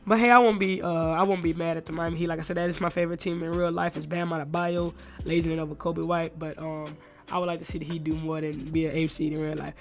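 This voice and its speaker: A congested young man, under the weather, talking through basketball game highlights. He speaks quickly in a fairly high voice. He is loose and casual and says "man" at nearly every pause. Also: little pitch movement, soft background music. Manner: fast, loose talk, says "man" constantly. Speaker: gaming YouTuber.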